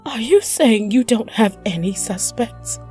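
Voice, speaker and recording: A woman's voice: fairly high pitch, expressive, a little slow, a little mellow, soft background music. A woman acting as a volatile, grief-stricken mother in an emotional audio drama. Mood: angry, desperate. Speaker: actor in audio crime drama.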